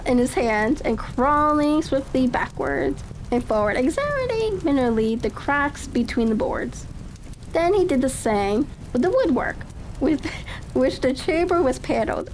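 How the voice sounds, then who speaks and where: High-pitched woman reading a story haltingly. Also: poor audio. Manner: halting, stumbles over phrases. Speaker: audiobook reader. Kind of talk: relaxed storybook reading for ASMR. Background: faint ambient noise.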